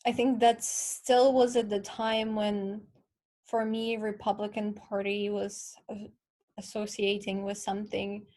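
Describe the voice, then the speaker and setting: A woman's voice: mid-range voice, a little mellow. A young woman with a Ukrainian accent, a guest in a casual interview, explaining things earnestly and patiently with many fillers.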